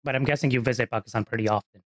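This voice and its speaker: A man's voice: medium pitch, fairly even intonation, rapid-fire. A man hosting an interview podcast. He reads a scripted intro, then asks friendly conversational questions. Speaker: podcast host.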